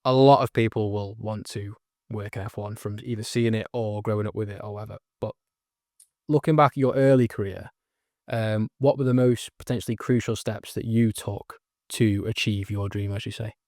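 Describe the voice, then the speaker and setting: A man from the north of England asks questions in a somewhat low voice. He talks casually, with lots of fillers and restarts. The recording is dry and close. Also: fairly even intonation, moderate pace, darkish tone, dry recording. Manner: casual, hesitant, with many fillers. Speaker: interviewer, host. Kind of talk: interview questions.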